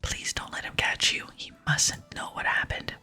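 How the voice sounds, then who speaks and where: A woman whispering in ASMR style, softly and slowly reading video game dialogue aloud. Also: faint music behind. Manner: soft, slow, hushed reading. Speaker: ASMR gaming channel host.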